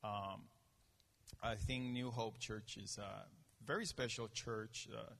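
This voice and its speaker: A man from Venezuela with a Venezuelan Spanish accent, Spanish his first language, preaching a sermon in an earnest, halting way and often restarting his sentences. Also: on the low side, creaky, slight background noise. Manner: earnest, halting, frequent restarts, grows animated. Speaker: guest preacher. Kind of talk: sermon with personal story. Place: church meeting in a gym. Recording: long reverb of a gym.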